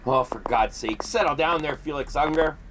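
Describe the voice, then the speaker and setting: A man's voice: a little high, moderate pace, background noise, lightly acted. A man playing an exasperated, sarcastic monster hunter who talks in exclamations, in a comedy horror audio drama.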